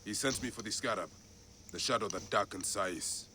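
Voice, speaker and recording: A man's voice: on the low side, moderate pace, pushed and bright, slight background noise. An actor playing a stern male warrior in a video game, speaking in short, solemn phrases. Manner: terse, solemn.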